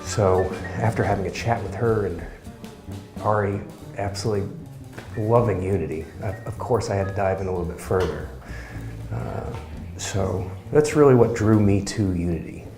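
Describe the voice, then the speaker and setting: Young man, soft-spoken, very low pitch, relaxed interview answers, roomy echo. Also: moderate pace, music in the background. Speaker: student interviewee.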